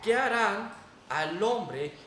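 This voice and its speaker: Mexican guy preaching, pretty high voice, echoey church room w faint noise. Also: animated, extremely slow, a bit bright, recorded from a distance. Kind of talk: sermon with Bible reading and prayer.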